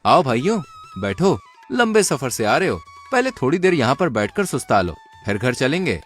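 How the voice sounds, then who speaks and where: A man's voice: medium pitch, very animated, normal speed, a bit bright, soft background music. A man voicing a cunning, confident young village man in a cartoon moral story, speaking persuasively. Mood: slyly friendly.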